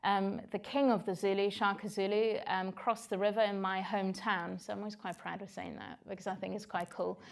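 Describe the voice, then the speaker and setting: A woman's voice: medium pitch, flat delivery, a little fast, vocal fry, hardly any pauses. A woman in her forties from South Africa with a South African accent, giving a candid personal talk to an audience, reflective and full of "um" fillers. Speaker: speaker sharing her story on stage.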